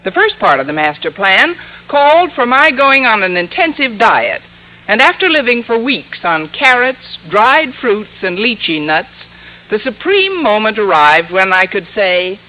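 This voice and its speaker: Wry, bright-voiced wisecracking schoolteacher. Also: female voice, medium pitch, sing-song, a little slow, low-quality recording. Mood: wry narrating. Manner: dry, sardonic comic timing. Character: wry, wisecracking woman English teacher, sardonic and quick-witted. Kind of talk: 1940s-50s radio sitcom narration and acting. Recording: hissy vintage radio recording.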